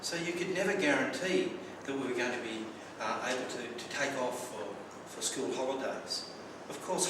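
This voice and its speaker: A man from Australia with an Australian accent gives a prepared personal speech, telling stories with wry humour and some stumbling repeats. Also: mid-range voice. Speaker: club speaker. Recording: very echoey room, distant mic, clear background noise.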